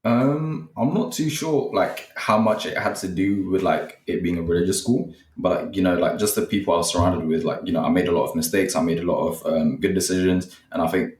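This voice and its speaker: A man's voice: low-pitched, brisk, hardly any pauses. A man, a podcast guest talking casually and rambling, with lots of fillers. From Nepal, raised in West London. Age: 20s. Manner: relaxed, rambling, heavy use of fillers like "like" and "you know". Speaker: guest, student content creator. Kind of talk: casual interview chat. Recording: roomy and distant from the mic.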